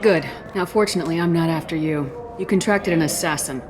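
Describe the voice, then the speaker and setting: A woman's voice: deep voice, a little fast, noisy background, close to an everyday voice. An actress playing a hard-nosed female military commander in a video game, speaking bluntly and tersely. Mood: stern, commanding.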